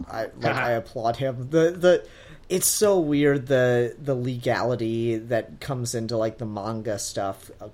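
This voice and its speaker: Male podcast co-host, mid-pitched, enthusiastic and profane in loose, casual discussion. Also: a little mellow. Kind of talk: casual review chat.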